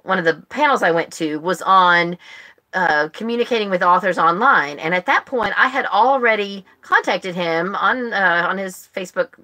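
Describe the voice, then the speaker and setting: A woman's voice: mid-range voice, moderate pace, bright, forceful tone. An American woman hosting a book club live stream, chatting casually in a rambling way. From United States. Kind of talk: casual chat. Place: live stream, speaking to viewers online.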